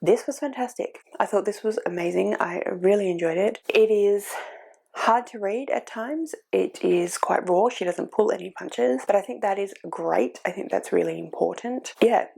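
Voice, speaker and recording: A woman's voice: medium pitch, normal speed, vocal fry, a little mellow, slightly rough. A woman with a cold, sniffly as she chats earnestly about a book she has just finished on her reading vlog. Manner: earnest, thinking aloud with fillers. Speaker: book vlogger. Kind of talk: casual reading vlog chat.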